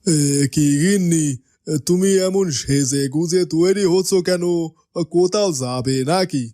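A man's voice: sits high, a little slow, dark tone. An actor voicing a grumbling, reproachful former village headman in a cartoon. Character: aggrieved older ex-village-headman. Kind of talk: cartoon dubbing.

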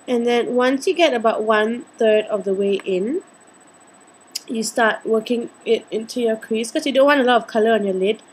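Casting: young Singaporean woman, slightly high husky voice, chatty, playful beauty vlogger in casual tutorial mode. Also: moderate pace, low-quality recording. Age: 20s. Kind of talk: casual makeup tutorial chat. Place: indoors, small home room.

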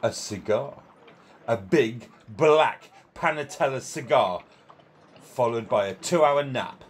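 A man playing a peevish, competitive older gentleman in an amateur radio play, with a deliberate, emphatic, easily exasperated manner. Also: mid-range voice, lively intonation, husky. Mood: smug relish.

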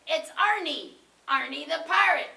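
Lady putting on a silly lovesick pirate guy, bright creaky growl, echoey room, bit far from mic. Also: high-pitched, animated, unhurried, exaggerated voice. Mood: pleading, smitten. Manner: growling, blustery, comic pirate talk. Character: goofy, lazy, lovesick male pirate.

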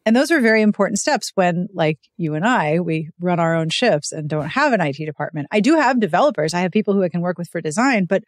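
A woman's voice: fairly low pitch, lively intonation, fast, hardly any pauses, no echo. Dry-recorded woman hosting a casual interview. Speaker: podcast host, interviewer.

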